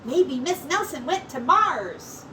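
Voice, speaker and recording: Storybook schoolkid played by a woman: eager, exclaiming, raised pitch, noisy room recording. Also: sing-song, slow, sharp tone, reverberant, heavily acted. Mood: speculating eagerly. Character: excitable schoolchildren with wild theories, storybook. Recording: distant mic with clear background noise.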